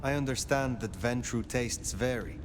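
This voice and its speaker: An actor playing a composed elder vampire sheriff in a video game, formal, measured and probing. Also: male voice, medium pitch, background noise, natural voice. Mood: composed inquiry. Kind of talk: video game dialogue acting.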